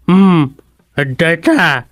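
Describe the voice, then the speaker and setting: An actor in a comedic radio drama playing a confused, mischievous old grandparent who mutters and grunts haltingly. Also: mid-range voice, big pitch swings, no room sound, studio quality. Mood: befuddled, stubborn.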